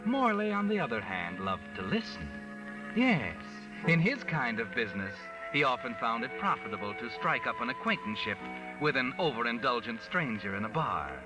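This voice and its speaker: An actor playing a mysterious, all-knowing night-walking narrator, ominous and dramatic, in 1940s radio mystery style. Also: male voice, medium pitch, big pitch swings, moderate pace, over music, lightly acted, rough recording. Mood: knowing storytelling.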